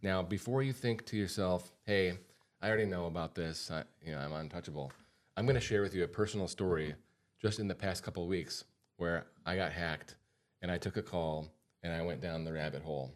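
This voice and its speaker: A man's voice: low-pitched, brisk. An American man hosting a podcast as a financial advisor, talking informally and repeating points for emphasis. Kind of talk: casual podcast talk.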